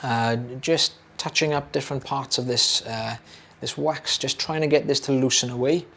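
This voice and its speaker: A young British man, an audiologist, explaining a procedure to camera in a relaxed, chatty way with many fillers and repeated words. His voice is slightly hoarse, as if he is losing it. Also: medium pitch, normal speed.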